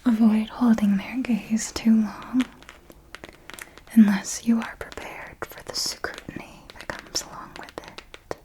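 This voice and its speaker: Whispering, slow-paced vampire mentor played by a woman. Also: a little high, level tone, rough recording. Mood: hushed, instructive. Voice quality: breathy, hushed whisper. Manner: slow, deliberate, refined, instructive. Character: elegant, knowing female vampire mentor, patient and refined. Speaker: ASMR roleplay performer. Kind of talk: whispered ASMR roleplay, scripted monologue.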